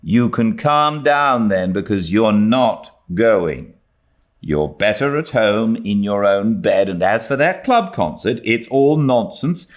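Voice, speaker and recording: A man's voice: on the low side, pitched above the performer's own voice. A man voicing a stern, sarcastic older woman who scolds curtly in a storybook reading. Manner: curt, scolding, emphatic.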